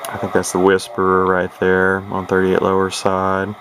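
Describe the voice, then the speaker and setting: A man's voice: low voice, monotone, normal speed. A man casually showing off an old CB radio on video, rambling and hesitant with lots of fillers and restarts. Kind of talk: casual show-and-tell video. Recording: noisy home video with radio hiss.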